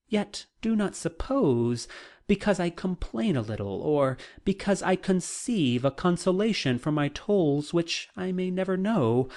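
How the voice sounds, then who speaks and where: A narrator reading a classic novel aloud in a measured, formal way, as scripted audiobook narration. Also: medium pitch, darkish tone.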